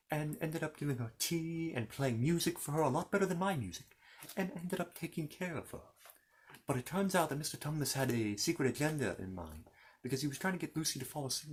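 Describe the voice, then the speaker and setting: A man with a mid-pitched voice works as an ASMR storyteller, retelling a children's fantasy tale. He improvises as he goes, circling back over phrases and slipping in little asides.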